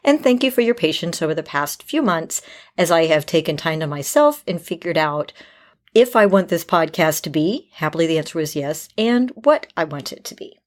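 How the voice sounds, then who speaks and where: Middle aged lady podcast host, lowish voice, upbeat n candid, chatting away. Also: lively intonation, moderate pace.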